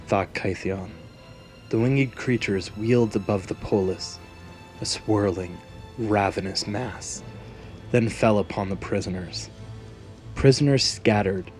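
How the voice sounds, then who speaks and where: A man's voice: lowish voice, darkish tone. A man narrating a scripted fantasy story in a dramatic storytelling manner. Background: background music.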